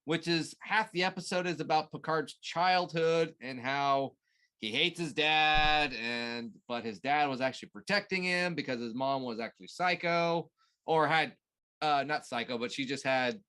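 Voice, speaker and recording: Halting, higher-voiced man chatting casually. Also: bright, forceful tone, clean tone. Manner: halting, searching for words. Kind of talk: casual chat recapping a TV show.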